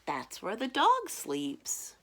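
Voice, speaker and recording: A woman's voice: fairly high pitch, wildly swooping pitch, slow pace, sharp tone, voice pushed up, exaggerated voice. A woman voicing a matter-of-fact, mildly exasperated child in a children's picture book read-aloud.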